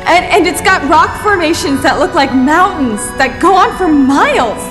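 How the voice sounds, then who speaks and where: A woman playing a plucky, impatient young adventurer in an audio drama, with an urgent, expressive delivery. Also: very high-pitched, normal speed. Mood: awestruck. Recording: music under the voice.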